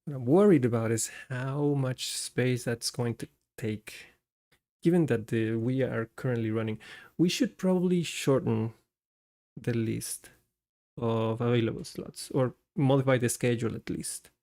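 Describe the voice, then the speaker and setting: A man's voice: mid-range voice, unhurried, warm tone. A man from Costa Rica hosting a live coding stream, talking through his work and chatting with viewers. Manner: talks through code as he works, chatty with viewers.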